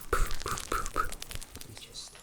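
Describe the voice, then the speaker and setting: A man's voice: unhurried, sharp tone. A man playing a fussy, apologetic, well-meaning fairy friend in a fantasy ASMR roleplay, hesitant and often dropping into whispers. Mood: apologetic, helpful.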